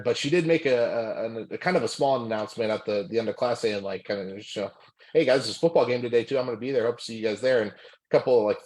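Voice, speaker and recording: Young man, rapid talker, lowish voice, candid, filler-heavy casual chat, former lineman from California. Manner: relaxed and candid, many fillers and restarts, laughs often. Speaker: podcast guest, former college football lineman. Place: home room.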